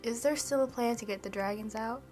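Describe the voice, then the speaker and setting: A woman's voice: on the high side, a little fast, darkish tone. A woman player in a tabletop game, casually improvising and telling her character's story. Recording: music behind the voice.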